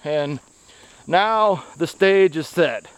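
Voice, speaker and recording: A middle-aged American man speaking earnestly and haltingly as he walks outdoors, giving an opinionated vlog monologue into a handheld camera. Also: on the high side, expressive, smooth. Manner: earnest, halting phrasing delivered while walking. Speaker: video blogger, activist. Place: outdoors on a wooded country road, walking. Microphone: handheld camera at arm's length.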